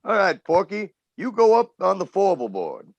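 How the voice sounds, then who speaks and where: A man's voice: high voice, huge pitch swings, moderate pace, vocal fry, no room sound, muffled recording, exaggerated voice. A man playing a brusque, impatient oil crew boss in an old-time radio drama, bossy and blunt in colloquial talk.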